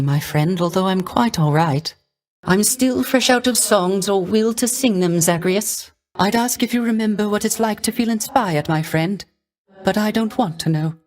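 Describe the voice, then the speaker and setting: An actor playing a gentle, melancholy young court musician's ghost in a video game, soft-spoken, courteous and hesitant, with a light, airy voice. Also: male voice, high-pitched, lightly acted. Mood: wistful, apologetic. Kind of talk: video game character voice acting.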